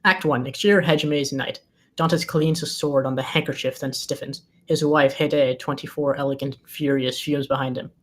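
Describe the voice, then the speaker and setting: A man's voice: mid-range voice, steady tone, normal speed, fairly bright tone. A man reading scripted screenplay directions as the narrator of a table read.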